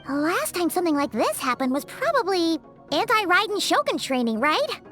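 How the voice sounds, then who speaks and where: A tiny, cheeky game fairy with an extremely high, squeaky voice. She is eager and childlike, swinging up and down in pitch, bright and piercing, over background music. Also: moderate pace, exaggerated voice. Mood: curious. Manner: refers to self in third person, excitable. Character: small chirpy childlike female fairy sidekick, cheeky and eager. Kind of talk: video game voice acting. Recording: music behind the voice.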